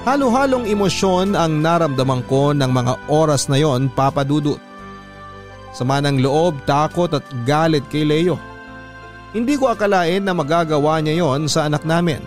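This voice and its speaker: A man's voice: a little high, animated, moderate pace. A man reading a listener's letter as scripted first-person narration for a radio drama. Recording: music under the voice.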